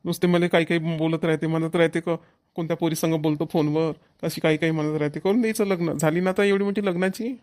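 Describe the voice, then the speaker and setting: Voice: medium pitch, fairly even intonation, quick pace, a little mellow, natural voice. A performer playing an argumentative boy in a cartoon village family comedy, with a Vidarbha Marathi accent. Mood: complaining. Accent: Maharashtrian, Vidarbha Marathi. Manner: complaining, argumentative.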